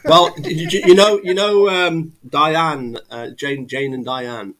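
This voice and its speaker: A man from England with a voice of ordinary male pitch, hosting a relaxed chat over a video call. He talks hesitantly, filling pauses and restarting his sentences. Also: a crowd in the background. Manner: hesitant, many restarts and fillers. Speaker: host. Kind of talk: casual live chat.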